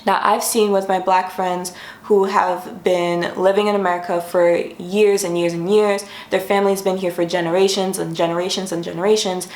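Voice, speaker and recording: Young woman vlogging on her bedroom floor, kinda low voice, chatty, fixes herself mid sentence, echoey room. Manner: chatty, friendly, thinks aloud and corrects herself. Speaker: YouTube host. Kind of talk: casual explainer vlog.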